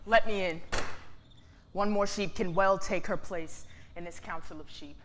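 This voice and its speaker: A woman's voice: fairly low pitch, a little slow, close to an everyday voice. A woman playing a battered, defiant young woman in a staged reading, shaming her townsfolk in impassioned, declamatory speech. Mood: bitter, wounded.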